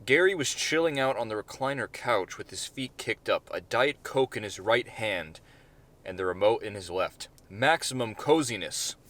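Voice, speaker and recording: A man's voice: on the low side, lively intonation, moderate pace, fairly bright tone. A man, the author, reading his own short story as informal narration with casual, profane asides.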